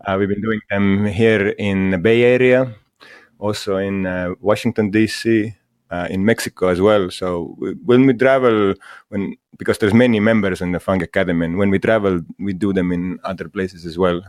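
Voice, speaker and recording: A man from Estonia, a guest in an interview, speaking English in a halting way with many fillers and restarts. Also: low-pitched, steady tone, darkish tone, no room sound, clean studio sound. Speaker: guest, mushroom school founder. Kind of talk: casual interview talk.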